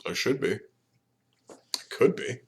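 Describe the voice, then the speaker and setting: A man co-hosting a podcast in casual chat, animated and profane, laughing often. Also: takes it slowly. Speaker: podcast co-host.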